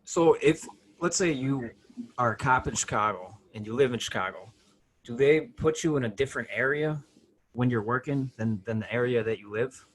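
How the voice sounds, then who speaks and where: A man's voice: medium pitch, expressive, normal speed. A man in casual conversation as a podcast co-host, musing and asking questions.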